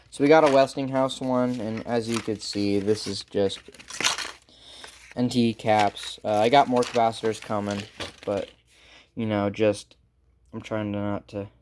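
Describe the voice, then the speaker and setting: A man giving a casual, unscripted how-to as a hobbyist repairer, halting and informal as he thinks aloud. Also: on the low side, background noise. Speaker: hobbyist electronics repair presenter.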